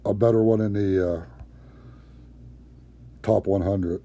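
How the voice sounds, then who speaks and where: A man chatting casually on a gaming stream, in a low-key, somewhat hesitant way. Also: fairly even intonation, slow, mellow, a little hiss or hum.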